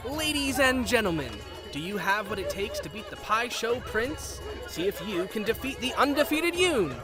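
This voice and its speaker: A man's voice: high voice, sing-song, moderate pace. A man voicing a cocky young boy hustler, calling out showman's patter in a fan visual novel. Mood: boastful. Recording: loud music and crowd behind.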